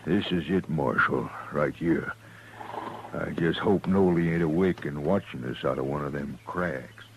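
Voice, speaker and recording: A man's voice: a bass voice, measured pace, lo-fi sound. An actor playing a hostile, accusing cowman in a western radio drama. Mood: tense, wary. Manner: pointed, accusing. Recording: noisy old-time radio recording.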